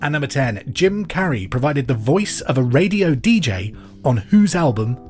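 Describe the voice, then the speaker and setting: A man presenting a podcast pub quiz in a scripted, clear and deliberate way. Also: medium pitch, big pitch swings, darkish tone, soft background music. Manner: repeats questions clearly and deliberately.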